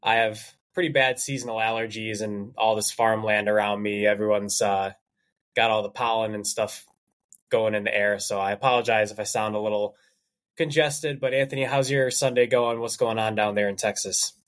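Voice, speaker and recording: A man's voice: lowish voice, fairly even intonation, normal speed, a bit bright. A man hosting a casual sports podcast, a little congested from allergies, reading sponsor spots in an upbeat host patter.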